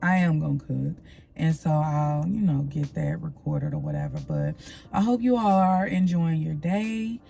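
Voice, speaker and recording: Chatty woman with a gravelly low voice. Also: big pitch swings, normal speed, warm tone, faint music behind. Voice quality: slightly nasal. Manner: rambling, chatty, addresses viewers as y'all. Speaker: vlogger. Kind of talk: casual vlog chat to camera.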